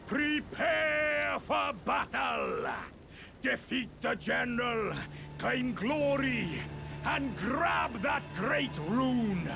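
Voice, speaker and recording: An actor playing a bombastic festival herald in a video game, shouting a declamatory proclamation to rally champions. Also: male voice, extremely high pitch, vocal fry, exaggerated voice. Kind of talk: video game character dialogue.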